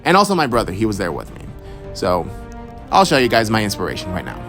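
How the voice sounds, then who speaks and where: A man's voice: on the low side, huge pitch swings, quick pace, loud music behind the voice. A young man from Houston answering follower questions about voice acting in a loose, rambling chat, full of fillers and restarts. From Houston, Texas. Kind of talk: casual Q&A monologue.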